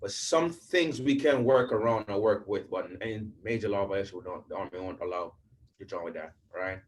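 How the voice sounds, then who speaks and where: A man's voice: fairly low pitch, brisk, slight background noise. A man from Jamaica, an army recruiter, adding points in a casual way and often checking with "right?". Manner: casual, keeps asking "right?". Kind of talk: informational talk.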